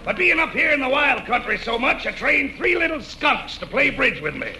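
Casting: quirky backwoods game warden, very high, sharp, creaky voice, old radio comedy, slight noise. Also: male voice, big pitch swings, moderate pace, heavily acted. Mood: folksy, emphatic. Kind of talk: 1940s radio comedy acting. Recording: noisy old radio broadcast recording.

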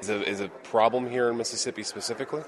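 A man's voice: mid-range voice, steady tone, rapid-fire. A male radio reporter asking conversational questions in an interview.

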